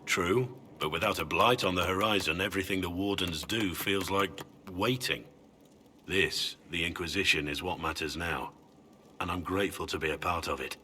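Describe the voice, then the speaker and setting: An actor playing an earnest, regretful older warrior in a video game, speaking in a reflective, measured way. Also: male voice, low voice, pushed and bright, a little hiss or hum. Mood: earnest, grateful. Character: earnest, regretful older warrior man, humble and world-weary. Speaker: Grey Warden warrior. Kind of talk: dramatic video game dialogue.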